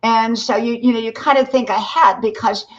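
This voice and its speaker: A woman's voice: fairly high pitch, fairly even intonation, normal speed, lo-fi sound. A woman in her sixties hosting a motivational live stream, talking in a loose, rambling way with lots of "you know". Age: 60s. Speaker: livestream host. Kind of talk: casual motivational chat.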